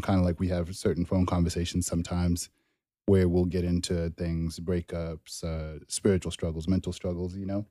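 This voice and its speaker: A young man from Zimbabwe co-hosting a podcast, talking in a relaxed, rambling way and laughing easily. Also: sits low, no echo, clean studio sound. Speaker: podcast co-host. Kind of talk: casual chat.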